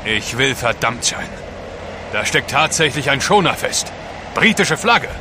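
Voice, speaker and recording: An actor playing a wary, sardonic and plain-spoken seafarer on a polar trek in an audio drama. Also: male voice, mid-range voice, very animated, a little slow. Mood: sceptical. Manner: blunt, sardonic. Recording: clear outdoor background noise.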